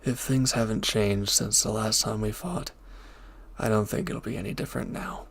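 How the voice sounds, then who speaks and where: A man playing a reformed villain turned devoted lover in an intimate audio drama, speaking softly, gently and hesitantly with a breathy voice. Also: on the low side, fairly even intonation, moderate pace. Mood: earnest, guarded.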